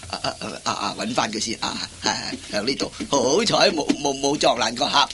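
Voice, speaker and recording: An actor playing a flustered, timid husband who stammers and repeats words in a radio farce, with a Hong Kong Cantonese accent. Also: male voice, mid-range voice, lively intonation, brisk, heavy background noise, natural voice. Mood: dazed, muttering. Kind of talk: Cantonese radio comedy acting.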